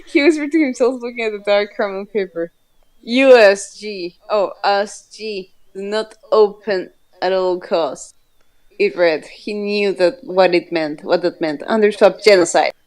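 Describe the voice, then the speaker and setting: A woman's voice: mid-range voice, unhurried, darkish tone. A woman reading fan fiction aloud in a casual, dramatic way, giggly and often breaking off to joke. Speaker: fan fiction reader, co-host.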